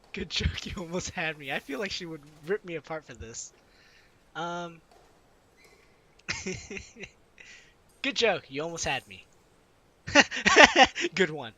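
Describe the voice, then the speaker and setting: Lively, bright-voiced man reading a game aloud. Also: on the high side, slow pace, long pauses, slight background noise. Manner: breaks into giggles and asides while reading. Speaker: let's play host. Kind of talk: reading a visual novel aloud with casual commentary. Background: game music.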